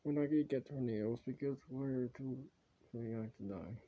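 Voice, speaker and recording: A man speaking casually as an amateur video creator, improvising in a halting, rambling, repetitive way on a dull home recording. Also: medium pitch. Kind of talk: casual improvised commentary and sign-offs.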